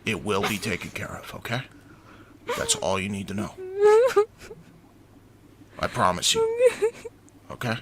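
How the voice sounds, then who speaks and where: A man playing a protective teenage brother in an intimate roleplay audio drama, speaking in soft, halting reassurances. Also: fairly low pitch, wildly swooping pitch, heavily acted. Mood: firm reassurance. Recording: clicky, very noisy home recording.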